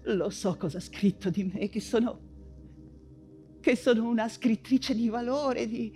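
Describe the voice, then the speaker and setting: An actress playing an anguished woman writer and mother fleeing persecution in a wartime stage monologue, theatrical and halting, with anxious repetitions. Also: high voice, a little mellow. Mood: worried, subdued.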